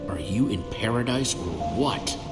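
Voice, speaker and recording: An actor playing an earnest young adventure hero in a video game, casual, wry and encouraging. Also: male voice, mid-range voice, moderate pace, close to an everyday voice. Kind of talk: video game character acting. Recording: echoey game audio under loud music.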